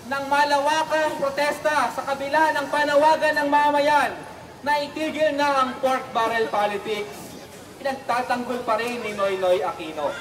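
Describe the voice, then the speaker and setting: A man's voice: very high voice, fairly bright tone. A man with a Filipino accent giving an activist's rally speech in short, repeated phrases. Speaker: protest speaker, activist. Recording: echoey, distant rally sound, faint noise.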